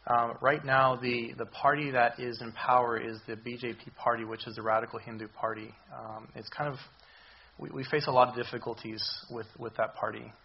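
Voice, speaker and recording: Man, hoarse voice, halting speech full of ums, church sermon, dull lo-fi sound. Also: mid-range voice, level tone. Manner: halting, many ums and restarts. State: hoarse, recovering voice. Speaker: visiting missions speaker.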